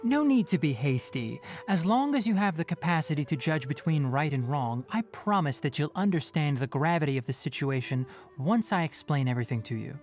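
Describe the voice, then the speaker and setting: A composed young man, an exacting forest ranger master in a video game. The voice sits low but moves in a lively, articulate, instructive way. Faint music runs underneath. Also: a little fast, few pauses. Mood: calm, cautioning.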